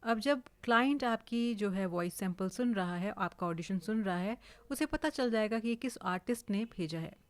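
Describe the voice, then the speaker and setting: An Indian woman giving an informal, explanatory software tutorial, mixing English words into her speech. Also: medium pitch, expressive, moderate pace, few pauses, no room sound. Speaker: voice-over artist giving a tutorial. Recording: clearly hissy home recording.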